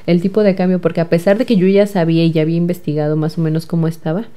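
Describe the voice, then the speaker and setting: A woman's voice: lowish voice, flat delivery, rapid-fire, warm tone. A young woman with a Mexican accent answering interview questions in a chatty way, full of fillers and repeated words. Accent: Mexican Spanish. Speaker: guest, recent engineering graduate.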